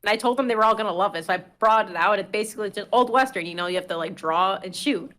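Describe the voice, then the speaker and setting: A woman's voice: mid-range voice, very fast. A woman telling stories in casual chat as a podcast co-host, acting out what others said. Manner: animated storyteller, acts out quotes.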